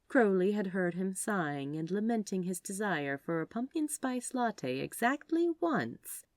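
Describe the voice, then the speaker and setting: Low-voiced, expressive woman narrator. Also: no echo, studio quality. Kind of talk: expressive scripted fiction narration.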